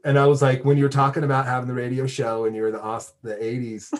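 An American man with a middle-pitched voice hosts a casual podcast. He rambles informally, breaking off and restarting his thoughts like someone talking with an old friend. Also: level tone, quick pace. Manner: informal, rambling, self-interrupting. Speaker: podcast host and radio DJ. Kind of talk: casual chat interview.

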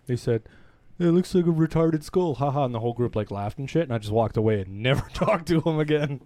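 A man's voice: medium pitch, fast, dry recording. A young adult man co-hosting a podcast, telling stories in a casual, profane way. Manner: casual, profane, animated storytelling with laughs. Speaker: podcast co-host. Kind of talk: casual chat.

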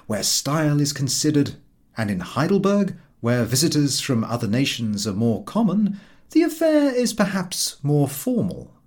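A man reading a humorous literary essay aloud as an audiobook narrator, in a measured, wry and expressive way. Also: mid-range voice, slightly rough.

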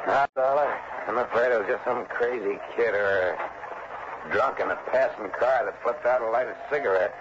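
An American actor playing a gruff, world-weary male small-town chief in an early 1960s radio drama. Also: mid-range voice, normal speed, close to an everyday voice. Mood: bitter, weary. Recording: dull, noisy old radio broadcast.

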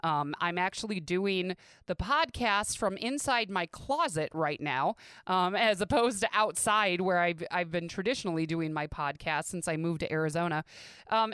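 Podcast host: middle-aged American woman, clean slightly low voice, candid conversational delivery, dry closet recording. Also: a bit bright, clean tone, dry recording. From American Midwest. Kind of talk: casual personal podcast monologue. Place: inside a home closet.

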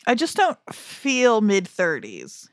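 A woman's voice: medium pitch, huge pitch swings, slow, dry recording, clean studio sound. Chatty playful woman in her thirties. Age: 30s. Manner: chatty, playful, laughs often. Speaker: podcast co-host. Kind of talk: casual chat.

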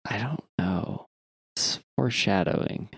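A man co-hosting a podcast in casual comic chat, sometimes sweary and halting as he thinks aloud. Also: fairly low pitch, vocal fry, fairly bright tone, breathy edge, no room sound, clean studio sound. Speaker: podcast co-host. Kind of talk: casual comic chat, reading listener emails.

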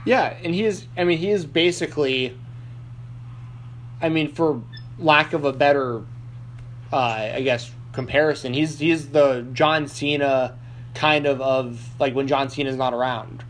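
A man hosts a casual podcast chat, circling his points with lots of likes and restarts. His voice sits a bit high. A faint hum runs underneath. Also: measured pace, fairly bright tone. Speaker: podcast host. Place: Nashville.

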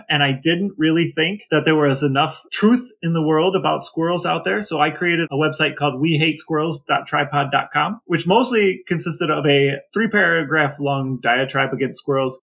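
A man's voice: a little high, normal speed, vocal fry, fairly bright tone. A middle-aged man co-hosting a podcast, telling stories casually and comically in a grumbling, exasperated way. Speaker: podcast co-host. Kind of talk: casual comedic storytelling.

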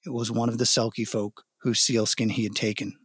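A male storyteller with a medium-pitched voice reads a scripted folk tale. He is dramatic and expressive, stepping out now and then to explain. The recording is dry and free of room sound. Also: fairly quick, husky, no room sound.

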